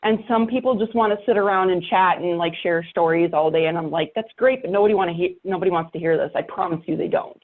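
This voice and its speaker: A woman's voice: on the low side, fast talker, hardly any pauses, narrow, tinny audio. A woman podcaster giving advice in casual conversation, blunt and emphatic with a wry edge, over thin call audio. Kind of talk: casual panel chat.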